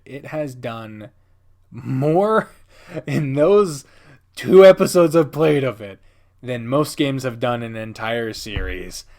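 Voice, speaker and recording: A man hosting a casual, enthusiastic countdown video, speaking emphatically and dramatically with playful asides and some swearing. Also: medium pitch. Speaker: host of a game video channel. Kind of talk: casual, enthusiastic top-ten countdown commentary.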